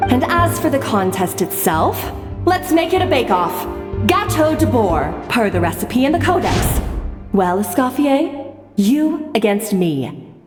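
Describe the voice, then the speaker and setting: A woman's voice: on the high side, very animated, unhurried. A woman playing a proud young head chef in a video game, haughty, emphatic and combative. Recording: echoey hall reverb, music behind.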